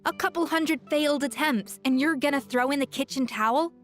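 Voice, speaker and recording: A seasoned, self-assured female chef, played by a woman lectures with brisk confidence in a game cutscene. Her voice sits a little high, and soft music plays behind her. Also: close to an everyday voice. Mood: stern.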